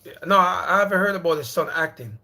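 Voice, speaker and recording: A man's voice: high-pitched, animated, fast, vocal fry, bright, forceful tone. A man from Jamaica, in his thirties, rambling casually at home as he hosts a livestream and calls his viewers brother. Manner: loose, rambling, repeats himself, calls out to viewers as brother and bro. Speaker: livestream host.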